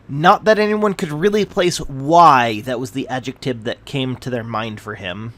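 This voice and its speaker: Man narrating a fantasy story. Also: on the high side. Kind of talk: scripted narration, reading a story aloud.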